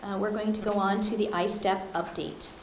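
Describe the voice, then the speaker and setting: A woman's voice: medium pitch, fairly even intonation, reverberant, noisy background. A woman chairing a board meeting, speaking with frequent ums and uhs. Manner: halting, many ums and uhs. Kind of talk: formal meeting remarks.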